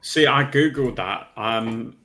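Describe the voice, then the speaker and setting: A man's voice: mid-range voice, takes it slowly, bright, forceful tone. A man chatting casually as a panelist on a hobby livestream.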